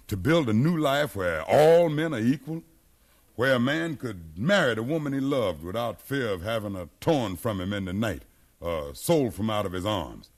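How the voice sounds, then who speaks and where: Fiery rebel leader in an old radio play, lowish voice, really animated, persuasive and preachy. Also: male voice. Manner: fervent, persuasive, preaching cadence. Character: determined freed slave man, educated, fiery rebel leader. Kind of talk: 1950s radio drama acting.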